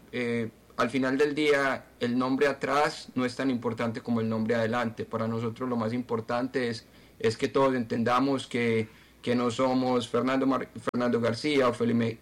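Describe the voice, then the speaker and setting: A Colombian man answering interview questions as a football club president, speaking haltingly and repeating words as he searches for them. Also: mid-range voice, flat delivery.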